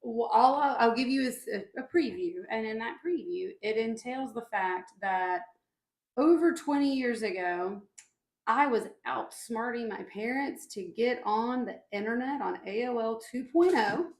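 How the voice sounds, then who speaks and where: A woman's voice: a little high, expressive, measured pace, darkish tone. A woman in her thirties joining her husband's livestream, chatting playfully and teasing. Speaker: co-host, host's wife. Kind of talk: casual chat. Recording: roomy off-mic sound.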